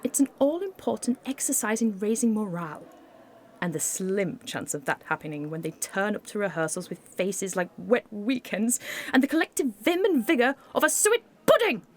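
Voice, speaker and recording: A woman's voice: medium pitch, animated, moderate pace, a little hiss or hum, close to an everyday voice. A woman playing a pompous, exacting 1940s village dance organiser in a theatrical, emphatic radio play monologue. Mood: haughty, indignant.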